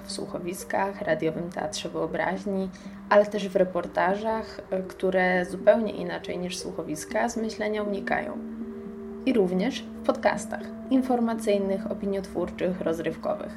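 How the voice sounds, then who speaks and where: A woman's voice: mid-range voice, gravelly, noisy background. A woman, hosting a radio programme and introducing it from a prepared script. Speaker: radio host.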